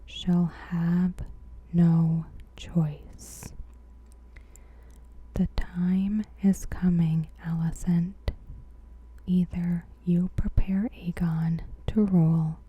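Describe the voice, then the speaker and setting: A woman softly whispering a scripted recap in ASMR style, breathy and gentle, with deliberate pauses. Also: fairly low pitch, lo-fi sound. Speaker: ASMR host.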